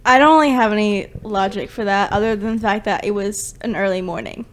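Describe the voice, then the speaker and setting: A woman's voice: mid-range voice, fairly quick. A woman in her twenties, a guest on a podcast, chatting casually and giggling sheepishly. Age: 20s. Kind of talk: casual chat.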